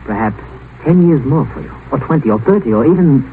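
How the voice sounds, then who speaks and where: An actor playing a cool, domineering physician in an old radio drama, precise, condescending and taunting. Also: male voice, mid-range voice, animated, brisk, lightly acted. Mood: taunting, menacing. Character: cool, sardonic, domineering physician to a rich man. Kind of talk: 1950 radio drama acting. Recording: noisy, tinny old radio broadcast.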